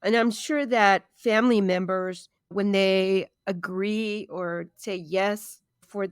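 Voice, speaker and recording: A woman's voice: mid-range voice, steady tone, slow, clean tone, no room sound, studio quality. A woman hosting a talk show interview, asking questions and responding with empathy. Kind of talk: interview host.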